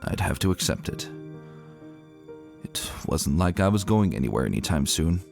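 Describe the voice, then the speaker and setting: Low-pitched, expressive male story narrator. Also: normal speed, vocal fry, faint music behind. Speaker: narrator, dramatic reader. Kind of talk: first-person scripted story narration.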